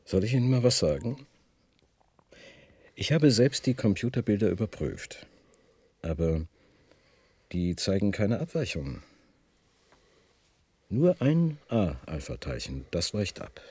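An unsettled, condescending physics professor in a radio play. His low voice is very husky and swings widely as he picks his way haltingly through each phrase. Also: very animated, darkish tone, heavily acted. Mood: measured, probing. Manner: halting, deliberate, stammers when agitated. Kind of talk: German science-fiction radio drama acting.

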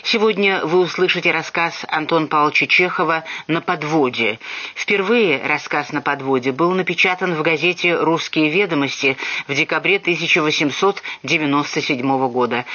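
A male radio announcer gives a scripted introduction, measured and formal. His voice sits a bit high with a bright, crisp edge. The recording is slightly dull.

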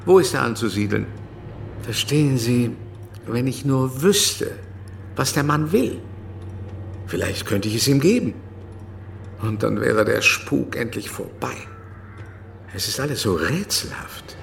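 A man's voice: medium pitch, lively intonation, unhurried, vocal fry, pauses often, slight background noise. An actor playing an anxious, weary French king in a radio drama, speaking in a formal, courtly and hesitant way. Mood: uncertain, pleading.

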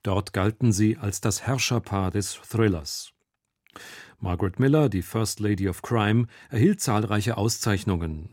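A man's voice: on the low side, darkish tone, no echo, clean studio sound. A man reading a scripted introduction as a radio presenter.

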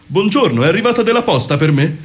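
A man's voice: sits high, big pitch swings, fast talker, pitched above the performer's own voice. A man voicing a polite female mare secretary in a radio comedy sketch, with an affected, prim manner. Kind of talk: radio theatre parody sketch.

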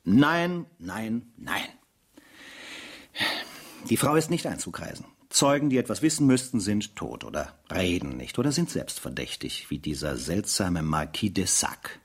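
Weary male investigator, very low husky voice, lively swooping intonation, sardonic asides, radio drama monologue. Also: unhurried, exaggerated voice. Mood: frustrated. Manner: sardonic, theatrical, rhetorical asides.

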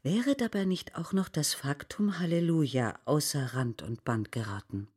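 An actor in an experimental radio play playing a declaimer of absurd, rhetorical verse. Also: male voice, a little high, moderate pace, a little mellow, breathy edge. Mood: declamatory.

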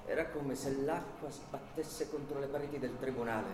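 A man giving dramatic literary narration in a radio play, with measured, incantatory phrasing. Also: medium pitch, background noise. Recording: roomy echo with music underneath.

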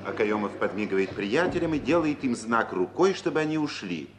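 A man narrating stage directions for an old radio play in a measured, literary storytelling manner. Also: lowish voice, very animated. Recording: old radio recording with faint hiss.

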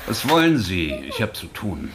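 A man's voice: fairly low pitch, big pitch swings, normal speed, noisy background. An actor in an audio drama playing a flustered male Soviet journalist, indignant and fearful under threat. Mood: irritated, indignant. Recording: loud music under the voice.

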